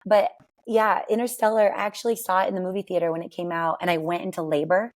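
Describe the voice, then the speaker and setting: A woman in her forties with a raspy voice, chatting and telling stories as a podcast guest, laughing often. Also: on the low side, level tone, fast talker. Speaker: guest, worship singer. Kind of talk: casual interview chat.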